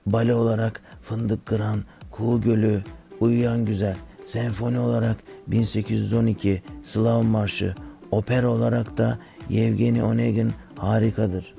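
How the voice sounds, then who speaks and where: A man plays an older cartoon music teacher, kindly and instructive. The voice is very creaky and mellow, moving in an even line as he explains things to children, with faint music behind. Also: fairly low pitch, moderate pace. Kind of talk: scripted lesson narration for children.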